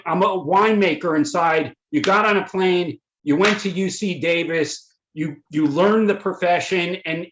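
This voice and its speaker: Male webinar host, slightly high voice, stumbles and restarts often; roomy echo on the call. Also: a bit bright. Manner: halting, many fillers and restarts. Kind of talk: introducing panelists. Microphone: video call. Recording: echoey room audio.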